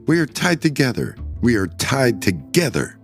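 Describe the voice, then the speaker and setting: A man's voice: medium pitch, huge pitch swings, moderate pace, faint music behind, pitched above the performer's own voice. A man voicing a bitter, vengeful wife driven mad by hatred in a dramatic story reading, hard and quavering. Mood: hard, resentful.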